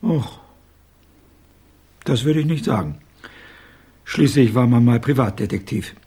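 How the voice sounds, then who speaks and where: An actor playing a blunt, confident old former private detective with a husky voice in a radio crime drama. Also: male voice, mid-range voice, lively intonation, unhurried, dark tone. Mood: confident, suspicious. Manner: blunt, assertive. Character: gruff, confident old male ex-private detective, gang member.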